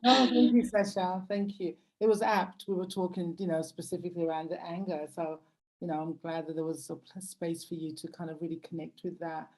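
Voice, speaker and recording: Book club host, woman in her 50s, low and mellow, gentle and encouraging. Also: fairly even intonation, fairly quick, poor audio. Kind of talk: supportive group discussion.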